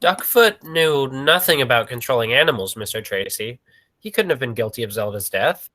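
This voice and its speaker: A man's voice: medium pitch, expressive, normal speed, sharp tone. A man playing a grave circus boss in a 1940s radio drama.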